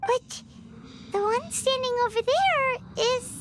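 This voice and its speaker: A woman voicing a tiny, cheerful, loyal fairy companion girl with a squeaky childlike voice in an English anime game dub. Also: slow pace, a little hiss or hum. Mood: curious, puzzled. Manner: excitable, exclamatory, speaks of self by name.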